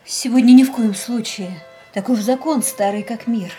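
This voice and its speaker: An actress playing a wistful young woman under thirty in a radio play, speaking softly. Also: fairly high pitch, normal speed, lightly acted. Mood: bitter. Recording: radio play with faint music.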